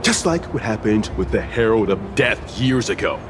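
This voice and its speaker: A man's voice: fairly low pitch, animated, normal speed, background noise, close to an everyday voice. A man voicing an irritable, cautious video game character in a scolding manner. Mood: exasperated. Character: irritable, cautious male cynic. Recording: music over the voice.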